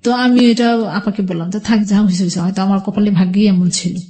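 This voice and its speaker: A woman's voice: medium pitch, fairly even intonation, quick pace, warm tone. Bangladeshi woman recounting her life story. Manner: conversational, quotes past exchanges as she narrates. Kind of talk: personal storytelling in a radio interview.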